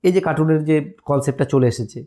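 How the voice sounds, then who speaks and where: Brisk, mellow-voiced man giving a tutorial. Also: on the high side. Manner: explanatory step-by-step, often checking in with listeners. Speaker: tutorial host. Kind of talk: casual screen-recorded app tutorial.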